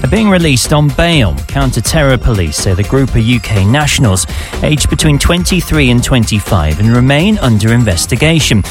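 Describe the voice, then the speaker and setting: A man reads the radio news in a measured, formal manner. His pitch moves a lot from line to line. Music plays clearly behind him. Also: big pitch swings. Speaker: radio newsreader. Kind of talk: news bulletin reading.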